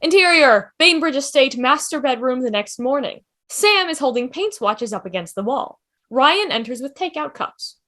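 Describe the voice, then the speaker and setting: A woman's voice: high voice, animated, normal speed, bright, forceful tone. A woman reading scripted stage directions as narrator of a table read.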